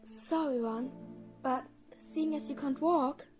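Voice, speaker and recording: A woman playing a clever, brisk thirteen-year-old schoolgirl witch, explaining matter-of-factly in an audio play. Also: high voice, music in the background. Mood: urgent, explaining. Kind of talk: dramatic audio play acting.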